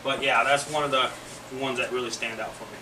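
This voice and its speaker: A man's voice: medium pitch, steady tone, brisk, distant mic, crowd noise. A man of about forty, recounting his experiences in an emotional, joking way. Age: 40s. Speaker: team empath. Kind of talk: casual interview answers. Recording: echoey room from afar with noise.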